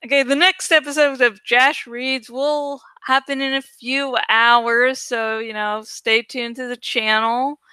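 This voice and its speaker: A woman casually rambling as she edits artwork for her channel, thinking out loud, self-deprecating and swearing freely. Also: high voice, steady tone, a little slow, hardly any pauses, clean tone, dry recording. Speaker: video creator and host. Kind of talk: casual rambling commentary while editing artwork.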